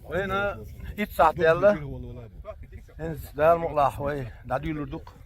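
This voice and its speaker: A man from Chechnya chatting casually with companions while hiking, tossing out loud offhand remarks and short questions outdoors in a mountain gorge. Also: fairly high pitch, fairly quick. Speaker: hiker filming a trip. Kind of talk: casual chat among companions on a hike.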